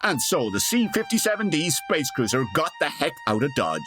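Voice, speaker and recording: A male narrator with a wry, theatrical delivery. His fairly high voice swoops dramatically through scripted comic lines over loud music. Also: big pitch swings, moderate pace. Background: musical score.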